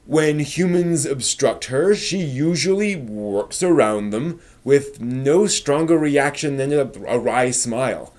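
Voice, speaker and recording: A man hosting a review stream, reading scripted commentary with emphatic, measured phrasing and casual conversational asides. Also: medium pitch, lively intonation, darkish tone. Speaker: host of an anime review live stream.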